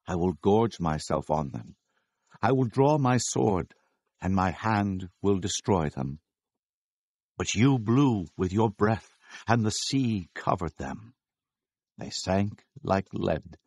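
A man's voice: low voice, expressive, slow pace, darkish tone, breathy edge, no echo, full character voice. A man acting a reverent Israelite proclaiming victory in a dramatized scripture reading, grave and declamatory. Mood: grave exaltation.

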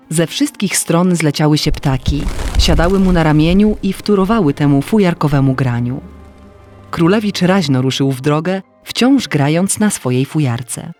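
A man narrating a scripted fairy tale as an expressive, literary storyteller. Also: a little high. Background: faint music.

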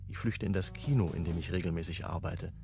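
An actor playing a hunted, wry amateur sleuth, narrating and acting in a crime radio play in a clipped, brooding way. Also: male voice, fast talker, music in the background. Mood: tense, wry. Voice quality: low, husky. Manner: clipped, brooding, first-person telling.

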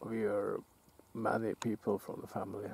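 Gravelly Norwegian man musing by a lake. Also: fairly low pitch. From Norway. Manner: relaxed, musing, halting with restarts. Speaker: outdoor vlogger. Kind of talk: casual self-filmed commentary. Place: lakeside outdoors, off camera.

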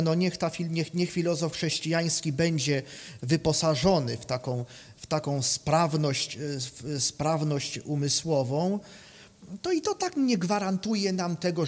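Halting male lecturer in an echoing hall. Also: on the high side. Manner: halting, repeats words, often tags phrases with a questioning check. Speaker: academic philosophy lecturer. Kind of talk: conference lecture, partly improvised. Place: conference room, indoors. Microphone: microphone on a stand at a lectern. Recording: reverberant hall sound.

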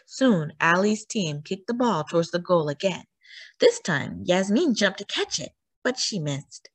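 Animated woman reading a children's storybook, slow. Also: lowish voice, slightly rough. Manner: expressive, acts out dialogue. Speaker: children's read-aloud storyteller. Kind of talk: animated scripted storybook narration.

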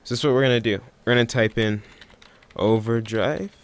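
A man giving a casual software tutorial in an offhand, unscripted way, thinking aloud as he works. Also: mid-range voice, steady tone, brisk. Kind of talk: casual screen-recording tutorial.

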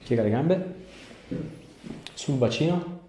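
A man's voice: mid-range voice, expressive, slow pace, dark tone, a little hiss or hum. A man, a chiropractor giving instructions and asking a patient questions. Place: small bare treatment room, indoors. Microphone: clip-on lapel mic. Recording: echoey small room.

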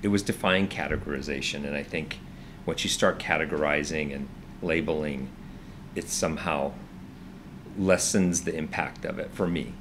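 A man's voice: a bass voice, normal speed, background noise. A man in his fifties co-hosting a casual vlog, earnest and hesitant, often restarting his sentences, seated on a sofa indoors. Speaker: vlog co-host. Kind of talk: casual chat. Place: indoors, living room with orange sofa.

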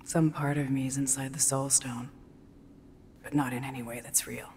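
An actress playing the solemn, tender ghost of a dead mother in a video game, with a hushed, earnest delivery. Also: extremely low pitch, flat delivery, moderate pace. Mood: sorrowful. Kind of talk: dramatic video game cutscene acting. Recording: long reverb, noisy ambience.

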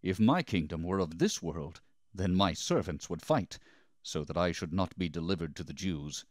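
A man playing a steadfast, solemn holy man held prisoner, speaking in a measured way in a dramatised scripture reading. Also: low-pitched, animated, dry recording, clean studio sound.

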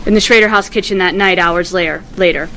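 A woman's voice: lowish voice, fast, noisy background. A woman in her thirties with an American accent, reading screenplay stage directions as scripted narration at a table read. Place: meeting room, indoors. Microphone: tabletop microphone.

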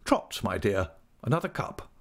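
A man voicing a stern, brusque elderly great-aunt in a storybook, with a crisp, commanding manner. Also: fairly low pitch, very animated, moderate pace, exaggerated voice. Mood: grudgingly civil. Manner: crisp, commanding, bluntly disapproving.

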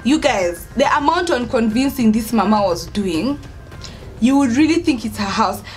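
High-pitched young Kenyan woman storyteller. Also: expressive, normal speed, faint music behind. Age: young adult. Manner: dramatic, acts out dialogue, code-switches into Swahili. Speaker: vlogger telling a story. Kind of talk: animated casual storytelling to camera. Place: indoors by an open doorway at home.

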